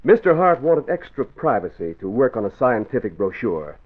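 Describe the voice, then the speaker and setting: A self-important, wounded executive in a radio play. His middle-pitched voice rises and falls dramatically with formal phrasing. The recording is thin and boxy. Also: sing-song, moderate pace. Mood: regretful, formal. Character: pompous, wounded company boss feigning regret. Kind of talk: 1940s radio acting. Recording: tinny, narrow vintage radio recording.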